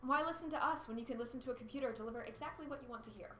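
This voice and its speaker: A cynical female radio host acted by a woman speaks in a bright, forceful voice with sardonic exasperation. She is in a roomy, echoing space with faint noise behind her, as in an amateur radio play. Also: sits high, rapid-fire. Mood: exasperated.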